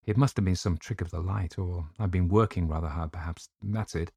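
A man as a highly strung husband, deep-voiced. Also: fairly quick, vocal fry, darkish tone, breathy edge, dry recording, full character voice. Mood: relieved, apologetic. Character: highly strung, shaken married man telling his ghostly tale. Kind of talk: audiobook character dialogue.

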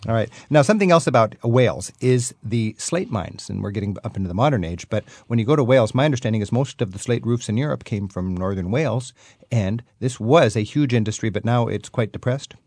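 A man's voice: mid-range voice, lively intonation, fairly quick, darkish tone, dry recording. A man hosting a radio interview, asking curious, enthusiastic questions. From United States. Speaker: host.

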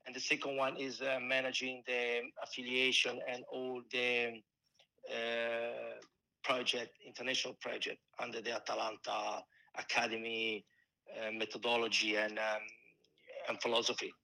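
Bright-voiced Italian-accented man. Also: mid-range voice, completely flat delivery, vocal fry, rough recording. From Italy. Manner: halting, many restarts and fillers. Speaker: football coach and scout. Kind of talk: casual interview answers.

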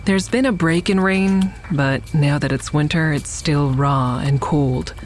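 A woman's voice: low-pitched, a little slow, a little hiss or hum. Wary female first-person narrator. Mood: wary, reflective. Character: wary shooting survivor narrating a nighttime adventure.

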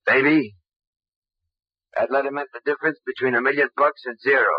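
An actor playing a cold, gloating American gangster with a rough voice in a 1940s radio crime drama. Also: male voice, mid-range voice, steady tone, a little fast, no room sound, natural voice. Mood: threatening. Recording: muffled old radio recording.

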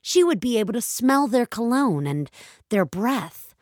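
A woman's voice: a little high, sing-song, unhurried, a little mellow, no echo, studio quality. A woman narrating scripted dramatic fiction as a storyteller.

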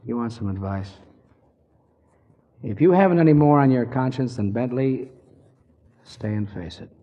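An actor playing a blunt, world-weary detective who is gruffly kind, in 1940s radio drama style. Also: male voice, mid-range voice, normal speed, close to an everyday voice. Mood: probing, gruff concern. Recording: dull, noisy old radio recording with reverb.

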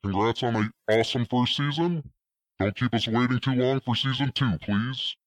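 A young man leaving a voicemail for a podcast, pushing his voice low and throaty, brash and teasing. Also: dry recording. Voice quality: voice deliberately lowered and throaty. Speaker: caller. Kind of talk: voicemail message.

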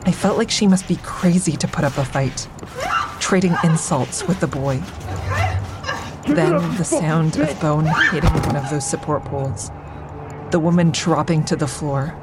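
An actress playing a traumatized woman survivor in an audio drama, recounting her ordeal in a measured, deliberate way. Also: deep voice, lively intonation, heavy background noise. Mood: somber, haunted. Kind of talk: full-cast audio drama monologue.

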